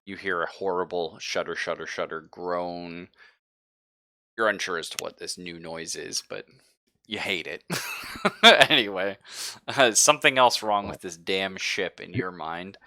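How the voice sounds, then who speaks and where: A man with a low, bright and forceful voice, recorded close and dry, narrates a tabletop roleplaying game as game master. He improvises casually, hedging as he offers options to the players. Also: measured pace, no room sound.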